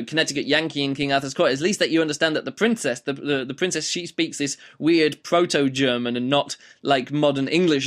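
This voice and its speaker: Guy reviewing a book, voice a bit rough, kinda higher pitched, rambles w lots of ums. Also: fairly bright tone. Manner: rambling, full of fillers and restarts. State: slightly rough voice. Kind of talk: casual spoken book review.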